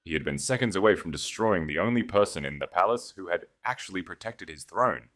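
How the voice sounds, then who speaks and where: A male narrator with a low-pitched voice reads a scripted story. The delivery is dramatic, in the manner of an audiobook. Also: expressive, fairly quick, fairly bright tone.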